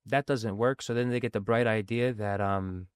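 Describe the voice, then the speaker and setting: This is a low-pitched man who grew up bilingual with Sicilian as his first language. He talks earnestly and conversationally, leaning on "right?" between thoughts. The recording is dry and close. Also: fairly even intonation, moderate pace, clear, resonant tone, no echo. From grew up in a Sicilian family, bilingual. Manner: earnest, often ends phrases with "right?". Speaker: guest speaking on addiction recovery. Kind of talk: casual conversational testimony.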